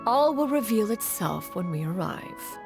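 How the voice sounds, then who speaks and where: A woman voicing a poised, composed immortal adept who speaks in a calm, formal, reassuring way. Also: medium pitch, a little slow, creaky, music in the background.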